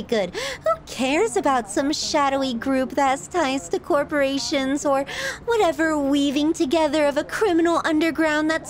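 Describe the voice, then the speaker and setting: A woman's voice: sits high, a little mellow. A woman playing a possessive, sadistic yandere girlfriend in an ASMR roleplay, cooing and teasing with sweet menace. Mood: dismissive, doting. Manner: cooing, drawn-out, teasing, clingy delivery.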